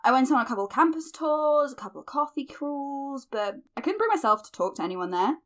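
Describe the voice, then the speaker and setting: Awkward british girl podcaster in her 20s, self-deprecating audio drama chat, swingy intonation, highish voice. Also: sing-song, a little fast. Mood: wry reminiscing. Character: dry-humoured, awkward young woman, amateur podcaster. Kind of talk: chatty audio-drama podcast monologue and banter.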